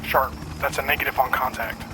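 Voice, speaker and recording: An actor playing a brisk, alert military subordinate in an audio drama. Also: male voice, mid-range voice, steady tone, noisy background, close to an everyday voice.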